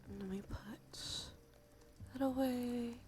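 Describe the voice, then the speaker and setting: A woman livestreamer talking casually in a soft, breathy whisper with vocal fry, in an informal ASMR game stream style. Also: fairly high pitch, steady tone, takes it slowly, soft background music. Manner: sweary, informal. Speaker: livestreamer and host.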